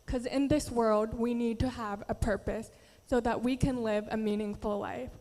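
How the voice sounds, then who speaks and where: A woman's voice: a little high, steady tone, normal speed, a little mellow, in a large hall. A young woman from the Philippines, Tagalog her first language, giving an earnest prepared speech as a pageant contestant.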